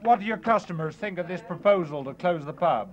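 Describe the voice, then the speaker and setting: A man reporting for an old 1960s newsreel, his voice sitting a touch high. He narrates and then asks brisk, probing questions. The film soundtrack has an aged sound. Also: lively intonation, dull audio, a crowd in the background. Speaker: news reporter.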